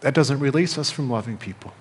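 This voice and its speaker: A middle-aged American man preaching a sermon as a pastor, speaking in measured phrases with pauses and repetition. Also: medium pitch, breathy edge. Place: church sanctuary, indoors at a lectern. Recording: reverberant church hall.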